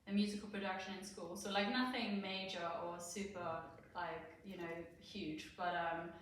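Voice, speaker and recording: A chatty young woman from southern Germany talks about herself in a relaxed interview. She is heard from some distance in a bare, echoing classroom. Faint room ambience hums underneath. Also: fairly low pitch, moderate pace, a little hiss or hum. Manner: chatty, laughing, many fillers. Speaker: singer, guest. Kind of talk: casual interview chat. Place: school classroom, indoors. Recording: echoey classroom, far from mic.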